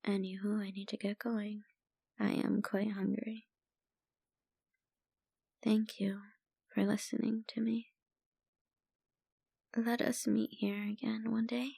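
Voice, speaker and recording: Troubled young female painter, played by a woman, ASMR roleplay. Slow, soft, hesitant delivery with a slightly creaky edge. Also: medium pitch, flat delivery, dry recording, studio quality. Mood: quiet, wistful. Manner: halting, soft-spoken, with sighs and trailing phrases. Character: troubled young woman painter, a stranger venting family worries. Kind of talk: ASMR roleplay, intimate monologue.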